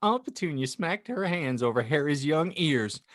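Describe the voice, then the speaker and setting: A man's voice: fairly high pitch, lively intonation, normal speed, no echo, studio quality. A man hosting a podcast, reading a comic story aloud with dramatic narration and joking banter. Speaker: podcast host and audiobook reader.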